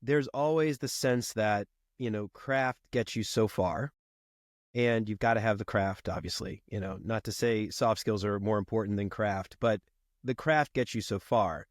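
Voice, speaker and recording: A man's voice: fairly low pitch, normal speed, no echo, clean studio sound. A man with a cold and a congested voice, talking casually and loosely as a podcast guest. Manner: relaxed, rambling, frequent fillers and laughs. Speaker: podcast guest, podcast host himself. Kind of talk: casual conversation.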